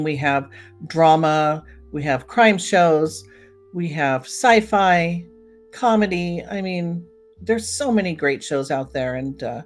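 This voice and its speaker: A woman hosting a solo podcast in a casual, chatty way, talking directly to her listeners. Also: low voice. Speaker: podcast host. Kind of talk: casual solo podcast commentary on old time radio.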